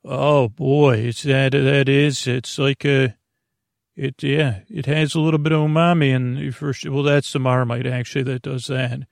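Gravelly, meandering male bedtime podcaster. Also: mid-range voice, flat delivery, no room sound, clean studio sound. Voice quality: gravelly, creaky. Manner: meandering, halting, over-explaining, trailing off. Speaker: podcast host. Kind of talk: rambling bedtime podcast monologue.

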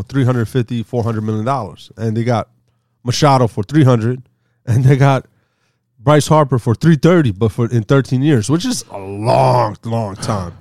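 A man's voice: mid-range voice, a little mellow, dry recording, studio quality. A man in his forties talking baseball in a casual, rambling way as a co-host. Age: 40s. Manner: rambling, chatty, laughs easily. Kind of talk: casual sports talk.

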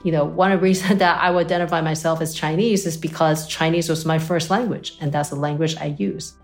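A woman's voice: sits low, fairly even intonation, brisk, soft background music. A woman from Taiwan, a guest expert, answering interview questions thoughtfully, often checking in with "right?" and "you know".

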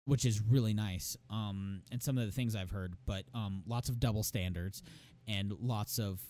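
A man's voice: lowish voice, fairly even intonation, normal speed, dark tone. A young man with a phlegmy, strained voice, telling a heated, profane story about a bad manager in casual chat. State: phlegmy, losing his voice.

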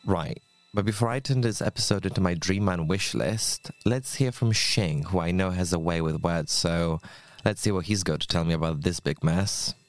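A man's voice: low voice, moderate pace. A man hosting a podcast, narrating a script with a wry, self-mocking tone. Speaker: podcast host. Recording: faint music under the voice.

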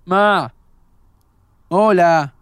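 A man's voice: high voice, extremely slow, a bit bright, clean tone, no room sound, exaggerated voice. A man playing a sardonic, restless young Argentine man in an audio drama. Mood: exasperated, pleading. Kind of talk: audio drama acting, muttering to himself.

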